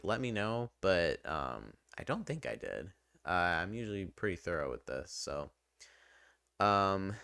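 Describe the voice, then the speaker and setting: A man's voice: on the low side, bright, forceful tone, smooth, dry recording, clean studio sound. A man giving a casual, opinionated review in a rambling, conversational way, often hedging as he goes. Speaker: video reviewer.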